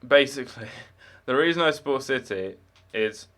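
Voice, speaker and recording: A man's voice: mid-range voice, expressive, moderate pace, a bit bright. A young man with no marked accent, chatting casually while live-streaming a game, rambling with many fillers and restarts.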